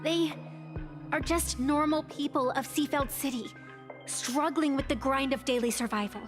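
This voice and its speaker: A woman's voice: high-pitched, normal speed, close to an everyday voice. An actress voicing a playful young woman fighter who turns earnest in a game. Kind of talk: voiced game dialogue. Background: game music.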